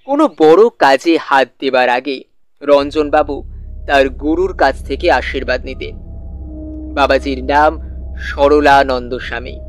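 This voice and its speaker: A man narrating a literary suspense story in a measured way, with deliberate pauses. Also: mid-range voice. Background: soft music.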